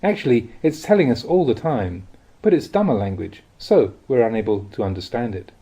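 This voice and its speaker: A man reads a spiritual teaching aloud in a measured, expressive, explanatory way, like an audiobook. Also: medium pitch, breathy edge, lo-fi sound. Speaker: reader of Buddhist teachings. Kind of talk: audiobook reading of a spiritual talk.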